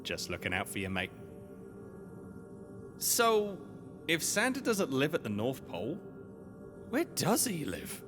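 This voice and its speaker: Man playing an anxious young male sidekick, very expressive pitch, earnest, questioning, audio drama, long reverb. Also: slow pace, pauses often, exaggerated voice. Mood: earnest, helpful. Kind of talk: scripted audio drama dialogue. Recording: long reverb, music behind.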